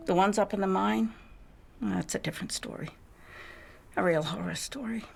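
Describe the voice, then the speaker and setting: An actress playing a faded, reclusive older widow, wistful and wry, confiding theatrically in a game monologue with drops into whispers. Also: female voice, mid-range voice, moderate pace, a little mellow. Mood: haunted, sorrowful. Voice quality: husky, breathy with creaky edges. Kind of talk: dramatic video game monologue.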